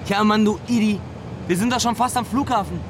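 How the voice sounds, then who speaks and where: Irritable high-voiced young guy. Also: brisk, background noise. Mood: irritated. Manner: blunt, slangy, swearing. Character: irritable, impatient young man in a hurry. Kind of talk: radio drama acting.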